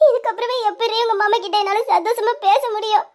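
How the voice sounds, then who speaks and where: A woman's voice: very high voice, steady tone, fast talker, a little mellow. A woman voicing a lovesick young woman who sobs and pleads to her younger sister. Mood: tearful, longing. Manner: sobbing, pleading, breaking into tearful laughs. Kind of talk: dramatized audio story.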